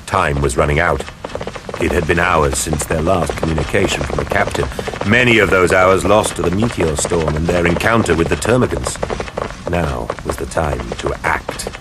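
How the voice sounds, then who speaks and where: A male narrator tells a scripted audio drama in a low voice. His delivery is measured and grave. Music plays underneath.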